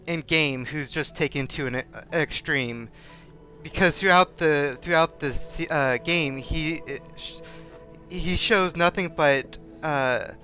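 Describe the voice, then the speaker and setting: Halting, bright, higher-pitched man. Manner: halting, repeats and breaks off words. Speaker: panelist in a Q&A discussion. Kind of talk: casual chat. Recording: music playing behind the voice.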